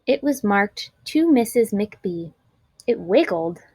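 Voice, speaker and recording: A woman narrating a children's storybook aloud in an expressive, clearly enunciated storytelling manner. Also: medium pitch, slow pace, no room sound.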